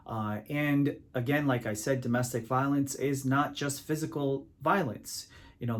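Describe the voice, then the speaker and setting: A man from Pakistan works as an immigration attorney and explains the law in a measured, deliberate talk to camera. He pauses often on filler sounds as he lays out each point. His voice sits at an ordinary middle pitch.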